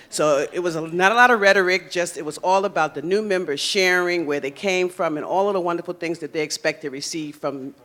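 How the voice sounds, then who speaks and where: Enthusiastic speaker giving a church testimony. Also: female voice, low-pitched, a bit bright. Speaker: new church member. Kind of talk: short testimony.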